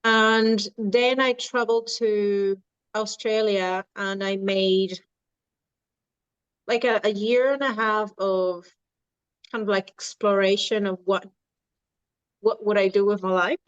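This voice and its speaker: A woman in her thirties from Venezuela, a dietitian and nutrition coach, answering podcast questions in unhurried, halting speech with many restarts. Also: medium pitch, fairly even intonation, clean tone, no room sound, lo-fi sound. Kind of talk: podcast interview.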